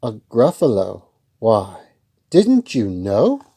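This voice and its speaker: A man voicing a clever little brown mouse in a children's story, read aloud with bright emphasis. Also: on the low side, huge pitch swings, slow, breathy edge, full character voice. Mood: bold, playful.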